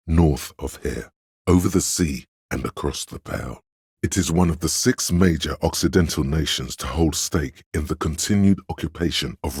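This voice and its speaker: An actor voicing an erudite, worldly inner voice of knowledge in a video game, narrating facts in a measured, dry, scholarly way. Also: male voice, a bass voice, husky, no room sound, heavily acted.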